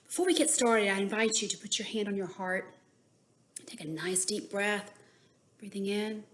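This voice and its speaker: A woman narrating a bedtime story and guided meditation in a soothing, measured manner with drawn-out phrases. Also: medium pitch.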